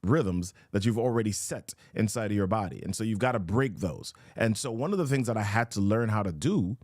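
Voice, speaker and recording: A man's voice: on the low side, animated, brisk, a little mellow, dry recording, studio quality. A man hosting a motivational live show, talking emphatically and repeating his key questions. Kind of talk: motivational live-stream talk.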